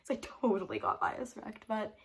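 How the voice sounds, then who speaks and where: A woman's voice: medium pitch, very animated, normal speed, husky. A teenage girl vlogger casually recounting a story to camera, bubbly and rambling, with frequent "like" fillers and giggles between phrases. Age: teens.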